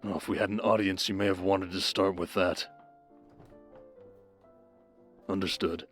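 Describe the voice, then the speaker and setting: A man's voice: sits low, level tone, a little fast, fairly bright tone, soft background music. A man playing a wry, authoritative baron with cold menace and formal speech in an audio roleplay drama. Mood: wry, stressed. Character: commanding, sardonic baron, coldly menacing schemer husband.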